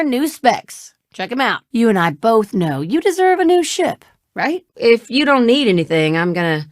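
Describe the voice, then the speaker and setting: A woman's voice: on the high side, no echo, clean studio sound. An actress voicing a spirited young woman ship pilot and mechanic in a video game, with casual, familiar, folksy banter. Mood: friendly, breezy. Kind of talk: video game character dialogue.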